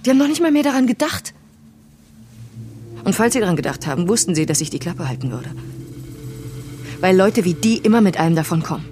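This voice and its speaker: A woman's voice: lowish voice, very animated, fairly quick, long pauses, dark tone, a little hiss or hum. An actress playing a defiant, wounded woman in an audio drama, speaking with emphatic intensity. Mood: bitter, hurt. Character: wronged woman, defiant, frightened and bitter. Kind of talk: naturalistic audio drama acting.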